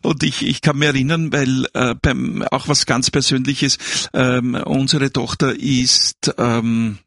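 An older man, a doctor hosting a call-in radio show, answering callers calmly and reassuringly with many fillers. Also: mid-range voice, no echo, clean studio sound. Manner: calm, reassuring, halting with fillers.

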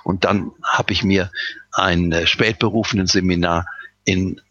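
A man's voice: low-pitched, steady tone, measured pace, pushed and bright. An older man from Westphalia tells his own life story, hesitating often with fillers and restarts. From Westphalia, Germany. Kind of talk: personal recollection, unscripted. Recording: slightly dull lo-fi recording.

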